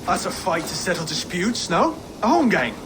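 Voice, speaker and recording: A man's voice: high-pitched, very animated, normal speed, background noise. A man playing a meek, earnest young Saxon would-be king with a hesitant, polite manner in a video game scene. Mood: nervous. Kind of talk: video game cutscene acting.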